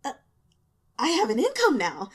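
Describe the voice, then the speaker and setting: A woman's voice: high-pitched, huge pitch swings, normal speed, pitched above the performer's own voice. A woman voicing a timid, earnest young male intern in an audiobook, hesitant and eager. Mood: shy excitement.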